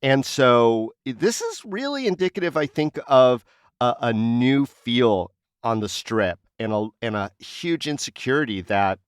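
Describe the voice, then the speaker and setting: A man's voice: mid-range voice, sing-song, measured pace, clear, resonant tone, dry recording, studio quality. A man co-hosting a casual panel discussion, speaking in an opinionated way and posing rhetorical questions. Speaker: podcast co-host.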